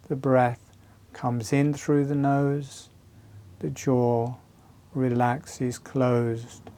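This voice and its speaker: Very slow, mellow man guiding relaxation. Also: medium pitch. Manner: calm, soothing, short phrases with long pauses. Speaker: breathing and relaxation instructor. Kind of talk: guided relaxation exercise instruction.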